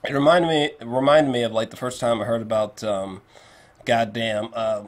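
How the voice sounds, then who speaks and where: A man giving a casual spoken movie review, swearing freely and repeating phrases for emphasis in an animated, emphatic way. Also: mid-range voice, normal speed. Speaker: film reviewer.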